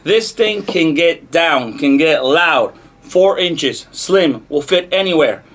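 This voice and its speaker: A man's voice: fairly high pitch, animated, slow pace, fairly bright tone. A man hosting a casual product unboxing, talking enthusiastically to viewers in short, punchy, repeated phrases. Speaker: host of product unboxing videos. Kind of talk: casual hype product demo to camera.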